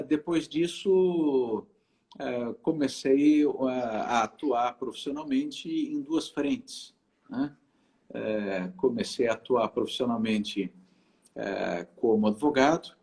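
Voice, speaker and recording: A man's voice: medium pitch, darkish tone. A middle-aged man from southern Brazil, a lawyer and lecturer, talking as an interview guest with frequent hesitations and restarts. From Rio Grande do Sul, Brazil. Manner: hesitant, many filler sounds and restarts. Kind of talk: casual conversational interview.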